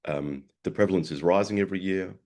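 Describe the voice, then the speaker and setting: A man with a very low voice, gravelly and rough from a sore throat. He lectures over a video call, often stumbling and repeating words before getting his point out. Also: dark tone. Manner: halting, repeats words, frequent um. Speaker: guest presenter, psychiatrist. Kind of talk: webinar lecture.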